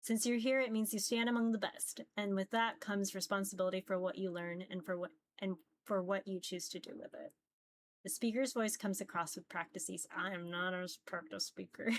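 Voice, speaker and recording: A woman's voice: mid-range voice, slight background noise. A woman streamer reading a visual novel aloud in a casual live style, often stumbling over words and teasing herself.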